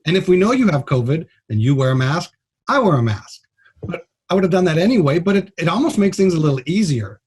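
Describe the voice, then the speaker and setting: A man's voice: on the high side, big pitch swings, fast talker, husky. A man speaking as a guest doctor in a radio interview, explaining things in a plain-spoken, blunt and informal way. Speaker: trauma surgeon, guest doctor.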